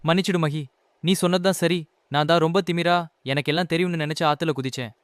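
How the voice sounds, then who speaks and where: Guy doing a cocky lil bunny boy for a kids cartoon, high voice, close and dry, bit of music. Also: quick pace, clear, resonant tone, no room sound, natural voice. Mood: sorry. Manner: boastful, expressive. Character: cocky, mischievous little male rabbit. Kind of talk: children's animated moral story dialogue.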